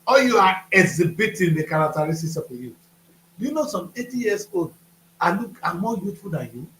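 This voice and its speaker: A man's voice: high-pitched, big pitch swings, brisk, fairly bright tone, reverberant, slight background noise, rough recording. A man, hosting a studio talk show and lecturing with rhetorical questions. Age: 50s. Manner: preachy, rhetorical questions, emphatic.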